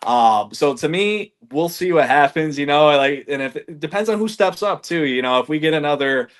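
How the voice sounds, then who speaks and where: Energetic, loose-talking guy in his thirties. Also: on the high side, normal speed. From Michigan, US. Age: 30s. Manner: energetic, loose, swears casually, says "man" and "like" a lot. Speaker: podcast guest, golf reviewer. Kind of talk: casual chat.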